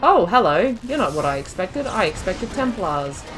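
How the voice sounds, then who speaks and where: A woman gives casual commentary over a game she is playing. Her voice sits at a middle pitch, and she talks in a loose, rambling, chatty way. She is playful and quick to make light of herself. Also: sing-song, loud music behind the voice, poor audio. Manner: chatty, rambling, self-deprecating, jokey. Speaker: video game streamer, playthrough host.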